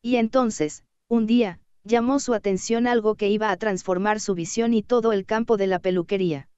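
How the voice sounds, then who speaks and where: A woman narrating an audiobook in a steady, even reading voice. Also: medium pitch, normal speed, clear, resonant tone, no room sound, highs cut off.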